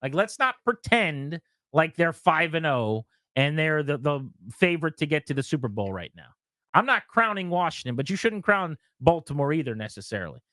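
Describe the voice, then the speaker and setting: A man hosting a sports talk radio show who argues his points in an animated, argumentative way. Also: on the high side, normal speed, no echo, studio quality. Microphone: studio mic.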